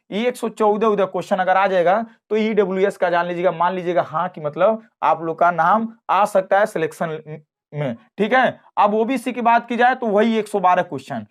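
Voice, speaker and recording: An exam coaching teacher speaks. The delivery is informal and emphatic. Key phrases are repeated, with frequent checks that listeners are keeping up. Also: mid-range voice. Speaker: math teacher at a coaching class. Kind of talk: informal exam cut-off explainer.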